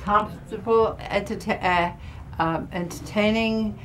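An older woman interviewed in a small ship cabin, answering in a halting way, hunting for words and restarting. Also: medium pitch, fairly even intonation, a bit bright, a little hiss or hum, rough recording. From New York area. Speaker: interviewee, cruise passenger. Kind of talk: casual chat. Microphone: handheld camera close up.

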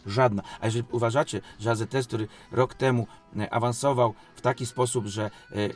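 Emphatic man defending himself, music behind. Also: medium pitch. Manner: emphatic, defensive, repeats phrases and asks rhetorical questions. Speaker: sports league company president and club coach. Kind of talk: unscripted studio interview answers.

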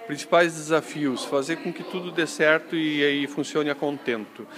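A middle-aged man speaks in a slightly high register. He is an event coordinator being interviewed on site, deliberately restating and rewording as he builds his answers. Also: fairly even intonation. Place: indoor lobby with large windows. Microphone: handheld reporter mic.

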